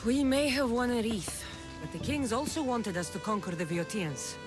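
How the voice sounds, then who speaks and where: A woman's voice: fairly high pitch, animated, normal speed, over music, natural voice. A woman playing a bold, wry young Greek mercenary in dramatic video game dialogue. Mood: firm, confident.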